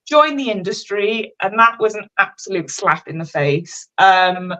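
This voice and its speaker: A woman's voice: medium pitch, animated, unhurried, pushed and bright, low-quality recording. Chatty Welsh woman in her thirties. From Wales. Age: 30s. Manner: chatty, candid, self-mocking, swears casually. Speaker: guest, fashion designer. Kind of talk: casual livestream interview chat.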